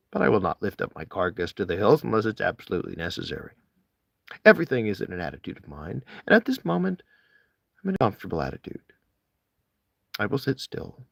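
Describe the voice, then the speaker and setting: A man's voice: on the low side, vocal fry, fairly bright tone, dry recording, studio quality. A man reading a literary essay aloud with theatrical, emphatic phrasing. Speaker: amateur audiobook reader.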